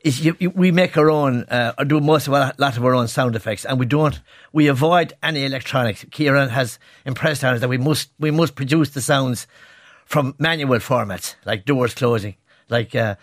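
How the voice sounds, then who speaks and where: An Irish man speaks earnestly and with emphasis as a guest on a radio programme. His pitch sits in the middle range for a man. The studio sound is dry, with no room echo. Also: moderate pace. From Ireland. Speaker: guest performer. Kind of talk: radio interview. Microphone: studio microphone.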